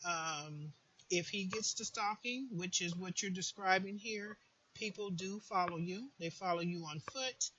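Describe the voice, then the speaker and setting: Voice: medium pitch, a little slow, pushed and bright, lo-fi sound, a little hiss or hum. A person giving an informal, earnest advice monologue, repeating phrases for emphasis, as a support group host would.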